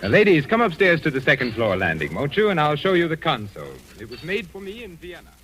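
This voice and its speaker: An actor playing a courtly, cultured Victorian widower with a formal, effusive manner in 1940s radio drama style. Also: male voice, fairly high pitch, very animated, fast, fairly bright tone, a little hiss or hum, low-quality recording. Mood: rapturous, suave. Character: courtly, cultured Victorian widower obsessed with his pipe organ. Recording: old radio recording, faint music behind.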